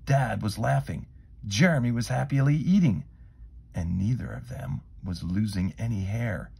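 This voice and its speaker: A man reading a children's storybook aloud in a dramatic, expressive way, moving between hushed asides and emphatic phrases. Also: on the low side, normal speed, gravelly, slightly rough. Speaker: storybook reader.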